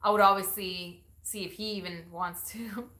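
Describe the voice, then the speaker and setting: A woman's voice: medium pitch, steady tone, normal speed, pushed and bright. A woman from Venezuela hosting a casual live question-and-answer chat, laughing often and reading out viewer comments. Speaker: YouTube channel host. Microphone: ring light setup, camera mic.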